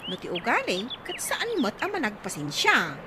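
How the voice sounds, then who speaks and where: Woman acting a high, animated gossipy best friend. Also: normal speed. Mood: amused, teasing. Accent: Ilocano. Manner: teasing, exclaiming. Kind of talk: Ilocano radio comedy drama acting. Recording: very noisy audio with bird sounds.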